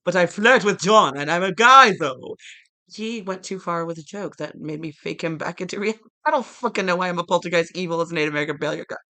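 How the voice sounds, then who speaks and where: A young man talking straight to camera in a disjointed, rambling monologue that breaks into sudden loud outbursts. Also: sits high, fast talker. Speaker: vlogger.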